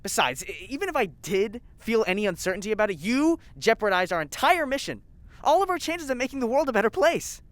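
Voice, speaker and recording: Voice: medium pitch, sing-song, fairly quick, dry recording, lightly acted. An actor playing a zealous, spiteful scientist in an audio drama, bitter, sarcastic and insistent. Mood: defensive gloating. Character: zealous, spiteful lab scientist named Colin. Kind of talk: scripted audio drama acting.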